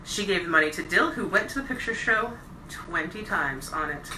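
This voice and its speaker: A woman reading a novel aloud as a bedtime storyteller, with expressive, deliberate phrasing and stressed words. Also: on the low side. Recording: roomy echo, distant mic, faint noise.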